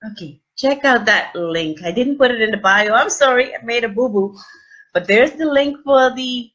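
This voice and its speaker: A middle-aged woman chatting live to her viewers on a webcam, gushing and animated with lots of oohs and fillers. Her voice has a slightly husky edge, and the audio sounds dull and lo-fi, as from a desk camera in a small room. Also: mid-range voice. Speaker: livestream host. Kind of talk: casual live unboxing chat. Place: small indoor room with plain wall. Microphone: webcam at desk distance.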